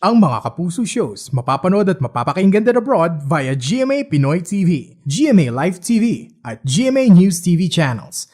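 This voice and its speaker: A Filipino man announces a scripted broadcast promo. His voice is high for a man and swings widely in pitch for an animated read. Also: normal speed, hardly any pauses.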